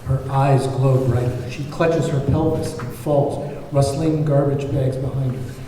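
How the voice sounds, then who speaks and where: A man's voice: mid-range voice, steady tone, a little slow, dark tone. A man reading screenplay stage directions as narrator at a table read. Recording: very echoey hall, distant mic, faint noise.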